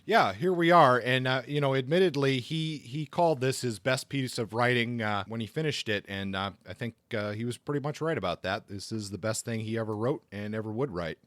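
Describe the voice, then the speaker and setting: Male podcast host, informal biographical narrator, conversational and halting, recorded dry. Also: mid-range voice, a bit bright, dry recording.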